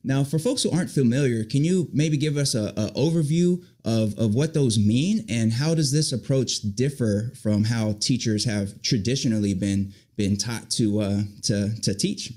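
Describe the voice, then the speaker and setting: A man with a medium-pitched voice hosts a conversational interview on a video call. He words his questions haltingly, pausing and repeating as he goes. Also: warm tone. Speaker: interview host.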